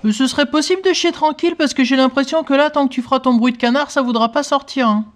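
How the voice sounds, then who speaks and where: A man's voice: very high-pitched, fast, voice pushed up. A man voicing a fussy, nerdy young male cartoon sidekick with a hesitant, whiny, pedantic way of talking. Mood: annoyed.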